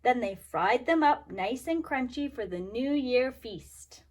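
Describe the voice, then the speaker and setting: A woman with a high voice talks to young children as their teacher. She is expressive and keeps her phrasing simple, whether reading a storybook or explaining a craft. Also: takes it slowly. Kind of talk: storybook read-aloud and craft instructions for children.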